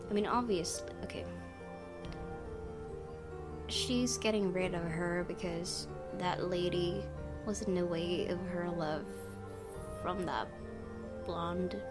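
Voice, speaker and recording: A woman hosting a gaming video, reading a visual novel aloud and adding casual commentary. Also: lowish voice. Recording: game music behind the voice.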